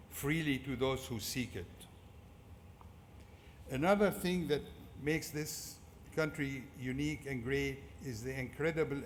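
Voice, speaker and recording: Echoey speech by a Syrian-accented man. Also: mid-range voice. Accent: Syrian Arabic. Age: middle-aged. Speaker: commencement speaker. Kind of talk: prepared motivational speech. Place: large indoor fieldhouse at a ceremony. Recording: echoey fieldhouse PA with clear background noise.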